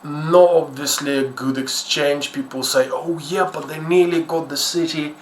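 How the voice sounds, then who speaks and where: A man's voice: on the high side, fairly even intonation, measured pace. A man from Ukraine with a Ukrainian accent gives casual, unscripted commentary in a hesitant, rambling, blunt and self-deprecating way. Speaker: YouTuber host. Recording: echoey room with faint background noise.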